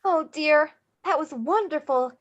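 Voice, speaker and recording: A woman plays a kind young girl with a very high voice. She is eager and concerned, exclaiming and encouraging, on a slightly dull microphone in a film script read. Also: a little slow.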